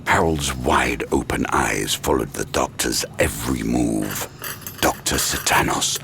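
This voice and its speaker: A man's voice: very deep voice, a little slow, gravelly, bright, forceful tone, a little hiss or hum. A man reading scripted, dramatic horror narration for an audio drama.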